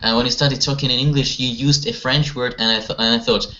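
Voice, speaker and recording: A man teaching an English class online, asking students questions and gently correcting their grammar. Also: medium pitch, steady tone, fast. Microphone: video call. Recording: lo-fi online call audio.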